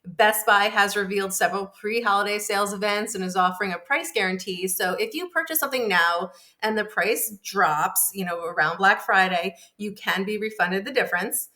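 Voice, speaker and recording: A woman's voice: mid-range voice, normal speed, few pauses, pushed and bright. A woman columnist giving conversational, explanatory answers as an interview guest.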